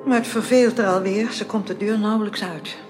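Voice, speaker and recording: A woman's voice: mid-range voice, fairly even intonation, brisk, over music, close to an everyday voice. A woman playing an anxious, caring upper-class married lady in a Dutch radio drama, formal and plaintive. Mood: worried.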